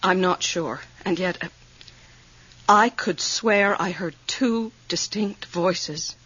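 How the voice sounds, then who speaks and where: A woman's voice: on the low side, slow, a bit bright, breathy edge, no room sound, full character voice. An actress playing an anxious woman who doubts her own sanity, confiding hesitantly in a radio drama. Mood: uneasy. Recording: dull, muffled radio drama recording.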